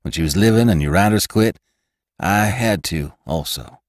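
A man's voice: low-pitched, lively intonation, normal speed, no echo, studio quality, pitched above the performer's own voice. A man voicing a gaunt, earnest ranch rider who speaks in an urgent, emphatic way.

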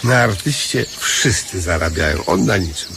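Gravelly, crafty old-school beggar artist. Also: male voice, deep voice, animated, normal speed, background noise. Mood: boastful, plaintive. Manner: hesitant, rambling, provincial turns of speech. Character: crafty male beggar, a vain down-at-heel artist. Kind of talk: radio drama acting.

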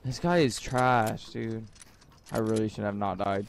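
A man's voice: fairly low pitch, steady tone, measured pace, vocal fry, background noise. A man giving terse, casual callouts and reactions while playing a shooter game.

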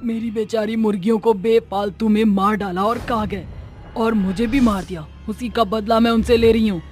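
A woman's voice: on the high side, fairly even intonation, moderate pace, a little mellow, soft background music, natural voice. A woman voicing a vengeful witch ghost in a cartoon story, with a dramatic, threatening manner. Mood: bitter.

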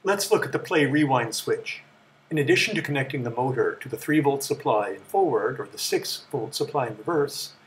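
A man's voice: fairly high pitch, low-quality recording. Man narrating precisely over faint background noise. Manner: measured, precise, matter-of-fact. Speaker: presenter of a vintage electronics tour. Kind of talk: scripted explanatory narration.

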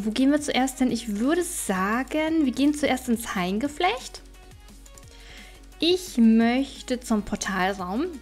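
A woman's voice: on the high side, darkish tone. A woman gaming YouTuber giving casual, chatty let's play commentary, thinking aloud as she plays. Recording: music behind the voice.

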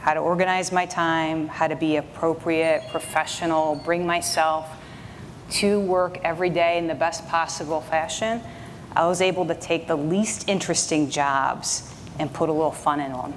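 A woman's voice: sits low, steady tone, normal speed, a little hiss or hum. A middle-aged woman from the United States, a panelist on a conference stage, introducing herself with dry, self-deprecating humor. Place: conference stage in a large hall. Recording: reverberant hall with audience.

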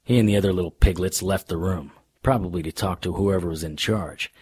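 An actor playing a wry, deadpan, hardboiled supernatural private investigator narrating a noir radio drama in a husky voice. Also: male voice, deep voice, a little fast. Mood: wry, watchful. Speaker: narrator and lead.

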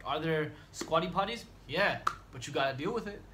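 A man's voice: fairly high pitch, lively intonation, moderate pace, background noise. A man, chatting casually and a bit slangy in a small dorm room, laughing easily. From United States. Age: 20s. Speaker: medical student guest. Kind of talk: casual chat.

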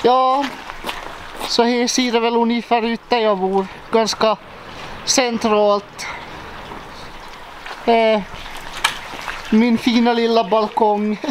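A man's voice: extremely high pitch, level tone, long pauses, background noise. A young man, joking casually in a vlog while filming himself at arm's length. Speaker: vlogger.

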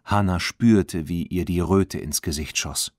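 A man reading a children's audiobook as an expressive, clearly enunciated scripted narration. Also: sits low, a little slow, dry recording, studio quality.

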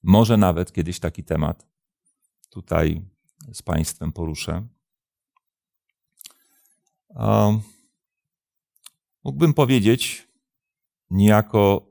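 A man in his fifties, a pastor hosting a programme, answering religious questions in a measured, deliberate way with hesitant pauses. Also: low-pitched, vocal fry, a little mellow, no echo, studio quality. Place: indoor studio, seated at a desk.

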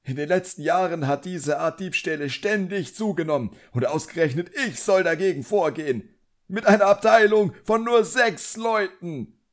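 A man's voice: high voice, moderate pace, voice pushed up, natural voice. A man voicing an irritable, contemptuous middle-aged wizard official in an audiobook, indignant, pompous and barking.

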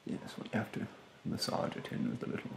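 A man in his twenties doing an ASMR roleplay as a rude beautician, speaking softly and breathily, often near a whisper, in a haughty, condescending way. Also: fast talker, roomy sound, a little hiss or hum. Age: 20s. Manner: haughty, condescending, politely insulting. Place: small bedroom, indoors.

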